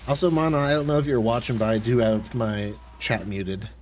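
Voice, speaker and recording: A man's voice: mid-range voice, steady tone, brisk, a little mellow, a little hiss or hum. An American man chatting casually on a game livestream, rambling in a conversational way. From United States. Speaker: game streamer. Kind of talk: casual livestream chat while gaming.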